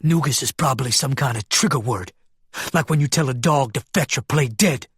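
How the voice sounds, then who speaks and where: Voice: mid-range voice, a little fast, slightly rough, dry recording, studio quality. A narrator voicing a hostile male crime boss, dismissive and rattled, in an audiobook. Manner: growling, stammering, shouting.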